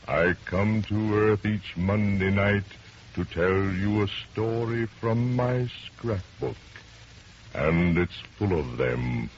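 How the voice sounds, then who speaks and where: An actor playing the Devil as a gloating, menacing host of horror tales, in theatrical 1930s radio style. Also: male voice, low voice. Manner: theatrical, menacing, commanding, drawn-out delivery. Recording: muffled, noisy 1930s radio recording.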